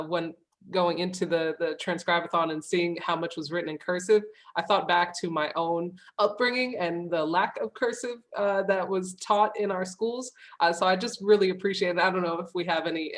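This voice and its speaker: A woman hosting a program, sharing informal remarks in a slightly halting way with repeated words. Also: fairly low pitch. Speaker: program host and moderator.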